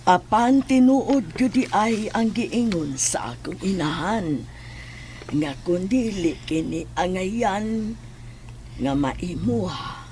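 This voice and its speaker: An actress playing a sinister older cult matriarch in a horror audio drama, speaking in a hushed, deliberate way that slips into whispers. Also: female voice, fairly low pitch, a little hiss or hum. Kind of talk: horror radio drama acting.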